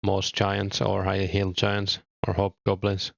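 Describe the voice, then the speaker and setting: A young man from Finland with a mild Finnish accent, chatting casually with viewers while streaming a game, relaxed and thinking aloud with small hesitations. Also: sits low, takes it slowly, vocal fry, no room sound, dull audio. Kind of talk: casual chat reading viewer comments.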